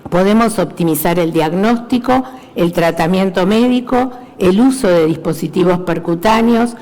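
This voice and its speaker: Low-voiced woman presenting in a hall. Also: darkish tone. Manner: measured, list-like summarizing. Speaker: conference speaker, cardiologist. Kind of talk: medical conference presentation. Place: large lecture hall. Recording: reverberant hall.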